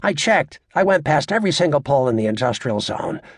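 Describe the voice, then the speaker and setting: A male narrator performs a brash club comedian, crude and cocky, in an audiobook. His pitch is raised and swings boldly around as he needles the crowd with showy sarcasm. The voice is raspy. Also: big pitch swings, fairly quick, no echo, clean studio sound. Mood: needling, sarcastic. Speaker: stand-up comedian.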